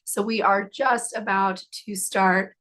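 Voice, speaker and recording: A woman's voice: medium pitch, fairly even intonation, slow pace, fairly bright tone. Woman, webinar host, scratchy failing voice, reassuring, chatty with fillers. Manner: upbeat, reassuring, filler-heavy. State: losing her voice, scratchy. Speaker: nursing education director hosting a webinar. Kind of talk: live webinar presentation.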